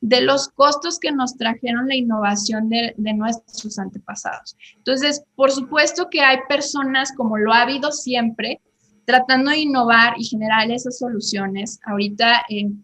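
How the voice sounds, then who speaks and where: A woman's voice: fairly high pitch, moderate pace, sharp tone. A woman being interviewed, explaining ideas at length with examples and frequent "eh" fillers. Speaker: guest on economics show. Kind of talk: conversational explanation.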